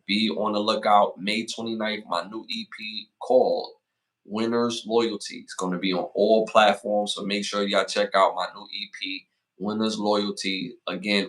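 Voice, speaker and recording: A man in his forties hosting a casual podcast, giving motivational advice and shout-outs, repeating phrases for emphasis. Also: lowish voice, poor audio. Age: 40s. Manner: hypes the chat with rapid shout-outs. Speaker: podcast host.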